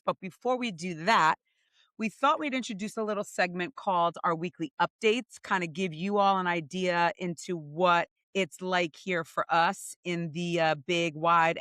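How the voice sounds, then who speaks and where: A woman's voice: lowish voice, a bit bright, dry recording, studio quality. A woman co-hosting a casual podcast, upbeat and playful as she chats and introduces her guest. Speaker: podcast co-host.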